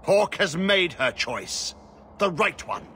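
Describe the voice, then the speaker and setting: An actor playing a weary, haunted old former commander in a fantasy video game, halting and cryptic in his urgency. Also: sits high, lively intonation, bright, forceful tone, slight background noise, heavily acted. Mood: defensive.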